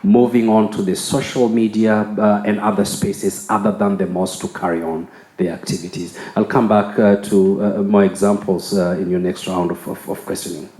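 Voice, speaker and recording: Low-voiced male panelist, halting delivery. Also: flat delivery, warm tone. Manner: halting, repeats words. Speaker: conference panelist, researcher. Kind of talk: unscripted panel presentation. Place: indoor conference panel. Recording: roomy conference hall sound.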